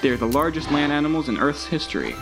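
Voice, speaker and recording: A man's voice: a little high, very animated, fast talker, over music. A man voicing a male park tour recording that welcomes visitors and explains the plants and animals. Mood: welcoming.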